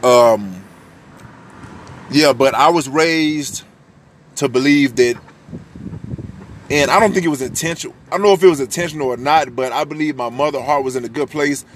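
A young man in his twenties from the United States talks about himself on his own podcast in a deep voice. He is candid and loose, swears freely and often restarts a sentence. Faint noise sits behind him. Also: normal speed, long pauses. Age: 20s. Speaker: podcast host. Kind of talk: casual personal monologue.

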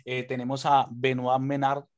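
A man's voice: medium pitch, normal speed, no echo. Jokey male podcast host, dry close sound. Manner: jokey, lively, lots of fillers and rhetorical tags. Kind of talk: casual podcast hosting.

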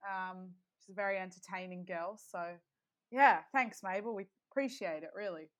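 A woman's voice: lowish voice, moderate pace. A young woman hosting a casual podcast, chatty and upbeat with plenty of fillers. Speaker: podcast host.

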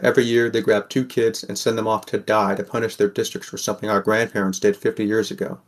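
A man's voice: lowish voice, level tone, quick pace, natural voice. A man playing a wary, sardonic sixteen-year-old boy who narrates an audio drama in the first person. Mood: bleak, sardonic.

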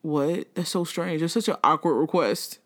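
A woman in her thirties co-hosting a casual gossip chat, blunt and profane, launching into animated rants. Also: deep voice, normal speed. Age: 30s. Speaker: podcast co-host.

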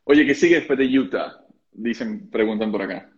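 A man's voice: mid-range voice, moderate pace. A man hosting a live-stream interview, asking long rambling questions with frequent restarts. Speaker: host, interviewer. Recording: dull lo-fi audio.

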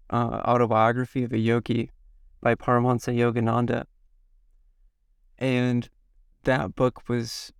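Slow, slightly hoarse Midwestern man. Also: mid-range voice, no room sound, clean studio sound. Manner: slow, halting, reflective. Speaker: podcast guest. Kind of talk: self-interview.

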